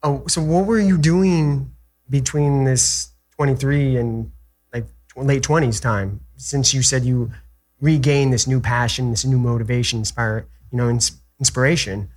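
A man hosting a casual interview, relaxed with loose phrasing and plenty of "mm-hmm"s. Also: medium pitch, unhurried. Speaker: host.

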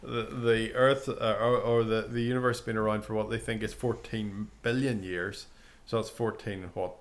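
A man's voice: fairly low pitch, level tone, normal speed. A man co-hosting a podcast, explaining ideas and agreeing often in casual chat. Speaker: podcast co-host. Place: podcast studio.

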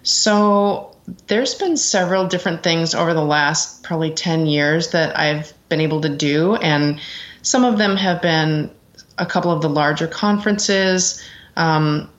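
Low-voiced middle-aged American woman, dull audio. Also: measured pace. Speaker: interview guest, executive assistant. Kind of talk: conversational interview answers. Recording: dull, lo-fi sound.

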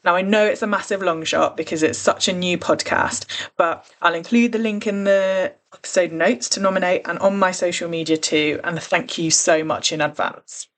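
A woman's voice: fairly low pitch, steady tone, normal speed, a bit bright. A woman hosting a true crime podcast, talking in an earnest, careful and conversational way. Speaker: podcast host.

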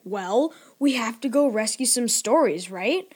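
An actor playing an excitable, combative boy in a children's audio drama, with a brash, teasing manner. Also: mid-range voice, unhurried. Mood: impatient, eager. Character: excitable, combative boy eager for pirates and scrapes.

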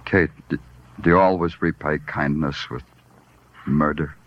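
Very deep, brooding hardboiled detective. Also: male voice, fairly even intonation. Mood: accusing, weary. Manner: brooding, clipped, deliberate delivery. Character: world-weary, poetic hardboiled New York police detective. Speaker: actor playing the lead detective and narrator. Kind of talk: 1940s hardboiled radio drama acting. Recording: dull vintage radio recording, organ-style music behind.

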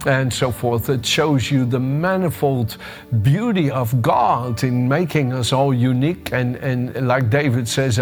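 An older preacher with a Dutch accent and a trace of American speaks at a middle pitch. He talks in a relaxed, conversational way, often stopping to restart a phrase while he searches for a word. Faint music plays underneath him. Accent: Dutch with a bit of American. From Netherlands. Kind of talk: devotional sermon.

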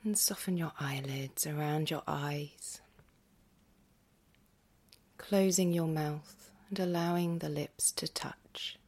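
A woman leads a guided meditation in a lowish, breathy voice that often drops close to a whisper. Her delivery is very slow and gentle, with long pauses. There is a noticeable creak in her soft, soothing tone. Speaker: yoga nidra meditation guide.

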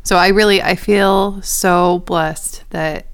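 A woman's voice: fairly low pitch, steady tone, slow, clean tone. A young woman co-hosting a faith podcast, talking in an earnest, encouraging way. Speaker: podcast co-host. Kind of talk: casual chat.

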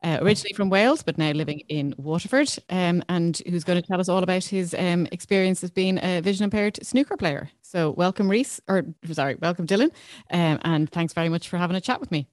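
A woman's voice: fairly low pitch, fairly quick, no echo, studio quality. A woman hosting a podcast, introducing her guest and asking questions in a friendly, slightly hesitant way. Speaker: podcast host. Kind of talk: podcast interview.

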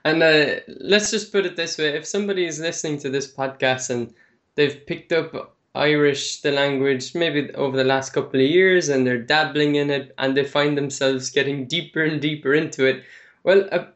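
A male podcast host with a slightly raised pitch asks his guest questions in a friendly, chatty way. He leads with casual framing and loose asides. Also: steady tone, normal speed, a bit bright. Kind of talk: podcast interview.

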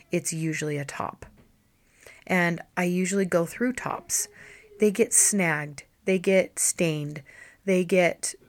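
A woman hosting her own style-coaching podcast, her voice hoarse and deep from allergies, chatting and encouraging listeners directly. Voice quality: deep, low voice. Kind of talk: solo podcast talk.

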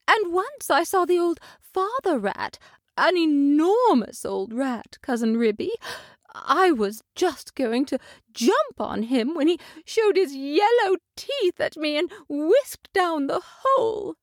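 A woman's voice: no room sound, studio quality, full character voice. A woman voicing a fussy, tearful mother cat who wails and frets. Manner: high wailing, tearful.

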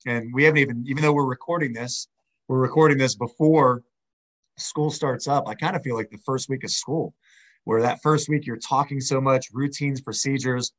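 Man, podcast co-host, slightly hoarse, friendly conversational delivery. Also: medium pitch, fairly even intonation, normal speed, poor audio. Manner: friendly, chatty. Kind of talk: casual podcast chat.

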